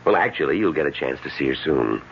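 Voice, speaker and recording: An actor in an old radio drama playing a suave, unruffled male healer with a measured, gently needling manner. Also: a bass voice, big pitch swings, gravelly, exaggerated voice. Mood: courteous, composed. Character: suave, unruffled healer treating patients by mind power. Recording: dull, noisy old radio recording.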